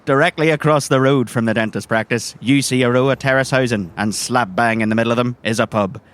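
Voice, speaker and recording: A man's voice: mid-range voice, fairly quick. A man, narrating descriptively as game master.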